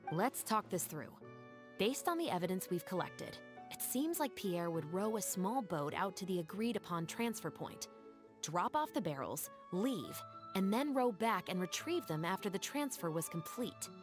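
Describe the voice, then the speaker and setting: A woman playing a composed, methodical young investigator in a video game, speaking in a measured, formal way over music. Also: mid-range voice, very animated, natural voice. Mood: measured, analytical.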